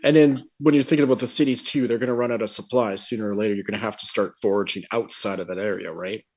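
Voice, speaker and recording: A man's voice: fairly low pitch, steady tone, fast, breathy edge, no room sound. A man chatting casually as a co-host in a podcast discussion. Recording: dull lo-fi podcast audio.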